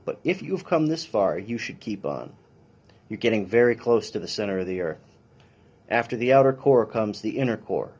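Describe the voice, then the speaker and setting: Casting: man with a lower, husky voice, reading a picture book to children, instructional and a little dry. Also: a little mellow. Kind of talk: read-aloud of a children's science picture book.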